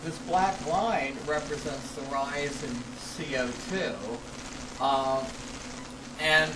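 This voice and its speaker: A man reading an academic paper aloud in a classroom as a lecturer, halting and deliberate, stressing key words and adding spoken asides. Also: a little high, fairly even intonation. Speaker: lecturer presenting own paper. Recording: clearly noisy live classroom recording.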